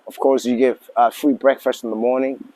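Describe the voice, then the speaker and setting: A man in his forties from Tanzania, a lodge owner speaking as an interview guest in a relaxed, halting way with many fillers and restarts. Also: mid-range voice, low-quality recording. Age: 40s. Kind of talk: casual interview talk.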